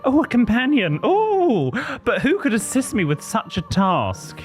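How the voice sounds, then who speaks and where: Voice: medium pitch, wildly swooping pitch, a little slow, soft background music, voice pushed up. A performer doing a fluttery camp northern boy. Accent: northern English. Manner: breathless, fluttery, easily alarmed. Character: timid camp young northern English boy.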